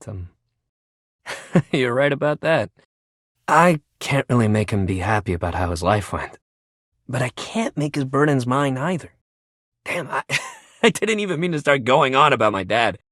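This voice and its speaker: A man's voice: mid-range voice, vocal fry, a bit bright, breathy edge, no echo, clean studio sound, heavily acted. A man voicing a sporty young guy in a video game, an upbeat badminton lover who talks in slangy, buddy-like coach pep talk. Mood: wistful, reflective. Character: upbeat, sporty young badminton-loving dude, animated shuttlecock. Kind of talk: video game character voice acting.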